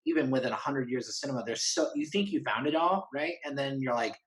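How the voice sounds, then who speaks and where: A man's voice: mid-range voice, fast talker. A man chatting casually as an interview guest, rambling enthusiastically and acting out little imagined exchanges. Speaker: interview guest, horror fan and writer. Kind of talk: casual conversational chat.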